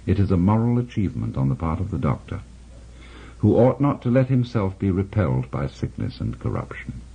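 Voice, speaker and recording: Middle-aged male radio host reading a philosophical text aloud, measured and reflective, low mellow voice, husky, on a dull old broadcast tape. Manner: measured, reflective delivery with dramatic pauses. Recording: dull lo-fi 1960s radio recording.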